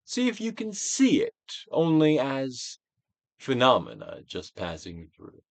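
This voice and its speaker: Theatrical man with sweeping, dramatic pitch swings. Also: huge pitch swings, dry recording. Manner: declamatory Shakespearean stage delivery, drawn-out emphatic phrases. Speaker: audiobook reader, actor. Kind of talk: theatrical dramatic reading of a self-help book.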